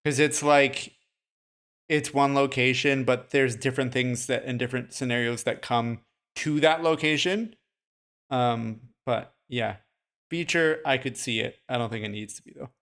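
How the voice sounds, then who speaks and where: A man's voice: mid-range voice, moderate pace, a bit bright. A man co-hosting a podcast, talking casually about short films with frequent "like" and "you know". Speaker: podcast co-host. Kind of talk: casual film discussion.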